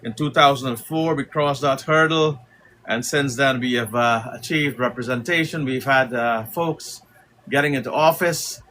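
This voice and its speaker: A middle-aged man from Guyana hosting a casual livestream as a community leader, talking in a halting way with many fillers. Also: medium pitch, sharp tone, a little hiss or hum.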